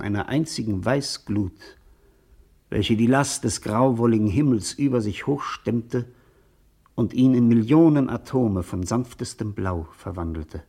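A man narrating a lyrical literary radio play in a contemplative manner, with a husky voice. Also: mid-range voice, darkish tone.

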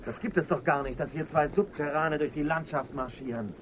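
An actor playing a defiant, distrustful surface-dweller who gives curt orders in a science fiction radio drama. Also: male voice, fairly high pitch, a little fast, slight background noise, close to an everyday voice. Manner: curt, barking commands.

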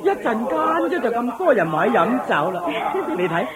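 A woman's voice: a little high, big pitch swings, moderate pace, over a noisy crowd. A woman voicing a smug, wealthy male master of the house in a radio drama, chuckling and ordering his servants about. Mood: amused. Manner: smug, self-satisfied, chuckling, commanding servants.

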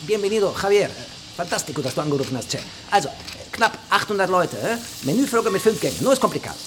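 An actor playing a bossy, excitable South American chef in a German radio drama, hectic and full of exclamations. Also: male voice, sits high, fast. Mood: hectic, animated. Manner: hectic, peppers talk with Spanish exclamations. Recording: noisy busy kitchen with sizzling pans.

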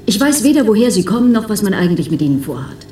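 An actress playing a dutiful, cool clinic test examiner in a German radio play. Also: female voice, mid-range voice, very animated, racing, dark tone, slight background noise, lightly acted. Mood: businesslike. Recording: roomy, echoing studio sound.

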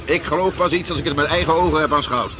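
A man's voice: fairly high pitch, quick pace, lightly acted. An actor playing a sceptical, dismissive working townsman in a period radio drama. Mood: weary, firm. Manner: dismissive, no-nonsense. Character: gruff, sceptical working husband in old Amsterdam. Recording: noisy outdoor street scene with crowd.

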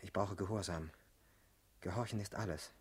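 An actor playing an intense, insecure young party militant in a German radio play, earnest, brooding and easily stung, with a husky voice. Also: male voice, low voice, moderate pace. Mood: brooding, subdued.

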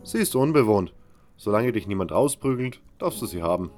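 Fantasy audio drama mentor: man playing gruff, casual convict guide, lowish voice, blunt but well-meaning. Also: lively intonation, brisk, darkish tone, soft background music, natural voice.

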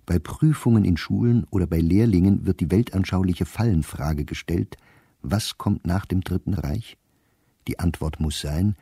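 An actor playing an educated middle-aged diarist, bitter and reflective, reading his diary in a measured, sardonic way for a radio play. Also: sits low, warm tone, breathy edge, no echo, clean studio sound. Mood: dry, sardonic.

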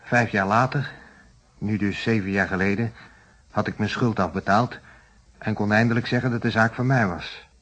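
An actor with a Netherlands Dutch accent playing a troubled, defensively candid shopkeeper in a radio play, plain-spoken and explanatory. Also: male voice, fairly low pitch, steady tone, normal speed, fairly bright tone. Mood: confessing, explanatory.

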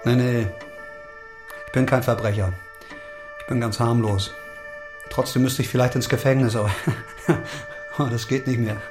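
An actor plays a sheltered, harmless man from the city. His medium-pitched voice is gentle and conversational, a little hesitant and self-deprecating. Faint music plays behind this radio drama. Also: steady tone, measured pace, long pauses, close to an everyday voice. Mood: anxious. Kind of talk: German audio drama acting.

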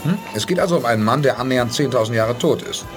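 A man playing a calm, decisive starship commander. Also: mid-range voice, music in the background, lightly acted. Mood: steady. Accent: German. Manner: reasoning, measured, emphatic. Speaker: lead character. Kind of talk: 1980s German radio play acting.

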